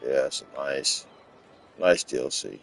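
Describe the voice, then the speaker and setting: A man from Los Angeles, casually talking through his game in a rambling, relaxed way, often repeating words. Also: rumbling low, unhurried, vocal fry, a little hiss or hum. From Los Angeles, USA. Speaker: gaming channel host. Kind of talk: casual gameplay walkthrough commentary.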